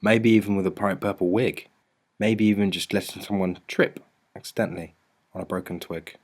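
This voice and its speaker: Low-pitched man narrating a rhyming storybook. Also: steady tone, fairly quick. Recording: music bed under the voice. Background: music.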